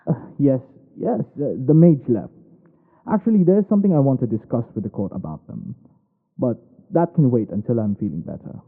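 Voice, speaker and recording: A man playing a tender, devoted king in an intimate ASMR roleplay, speaking in an earnest, hushed, halting way. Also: medium pitch, expressive, in a large hall, phone-quality sound, natural voice. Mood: flustered, formal. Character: tender, devoted male monarch hiding a secret lover.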